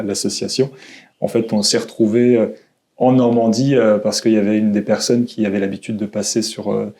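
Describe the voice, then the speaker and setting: A man's voice: fairly low pitch, darkish tone. A man in his thirties, born in Canada, telling his life story as a podcast guest, hesitant and full of fillers. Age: 30s. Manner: hesitant, many fillers and restarts. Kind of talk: casual conversation. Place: old château room.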